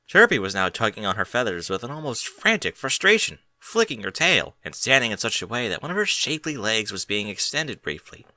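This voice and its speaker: A man's voice: on the low side, bright, forceful tone, dry recording. A man reading a story aloud as scripted narration. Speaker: audiobook reader of fan fiction.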